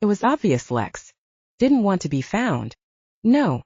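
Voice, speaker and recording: A woman's voice: mid-range voice, very animated, unhurried, darkish tone, slightly rough, no room sound, dull audio. A woman reading a scripted story as an audiobook narrator.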